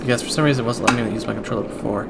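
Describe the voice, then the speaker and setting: A man's voice: medium pitch, rapid-fire, vocal fry, very noisy. A man giving casual, flippant game commentary as a streamer, offhand and informal. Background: loud game audio and music.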